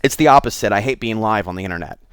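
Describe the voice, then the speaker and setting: A man's voice: lowish voice, animated, rapid-fire, smooth, no room sound, studio quality. A middle-aged American man giving an unscripted, rambling monologue to his viewers, candid and confiding as he wanders between thoughts. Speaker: host of his own online show.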